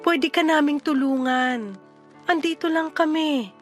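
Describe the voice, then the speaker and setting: A patient, worried young woman in a Filipino radio drama. Her Filipino-accented voice is on the high side, earnest and reassuring as a loyal friend. Light music plays underneath. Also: measured pace. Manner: earnest, reassuring, gently insistent. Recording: music behind the voice.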